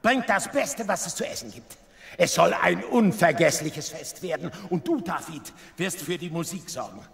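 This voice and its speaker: A man's voice: on the high side, expressive, normal speed, vocal fry, fairly bright tone. Volatile, theatrical king, swooping delivery. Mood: commanding, excited. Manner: theatrical, commanding. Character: troubled, volatile king, swinging from anguish to booming festivity. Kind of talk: German Bible audio drama acting.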